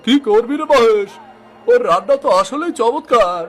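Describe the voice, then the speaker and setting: A man's voice: sits high, animated, measured pace, a bit bright, some chatter behind. A man voicing a teasing village gossip in a cartoon, mocking. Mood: mocking amusement. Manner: mocking, needling. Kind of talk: cartoon story acting. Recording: background music under voice.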